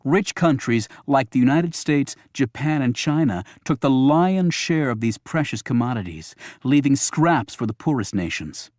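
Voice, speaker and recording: Male narrator for thriller audiobook, medium pitch, scripted read, very dry studio-style sound. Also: expressive, unhurried, few pauses, a little mellow, dry recording.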